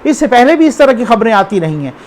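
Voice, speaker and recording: Male news commentator, Pakistani accent, high voice, conversational and unscripted, quizzes the viewer. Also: very animated, quick pace. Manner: conversational, addresses the viewer with rhetorical questions and check-ins.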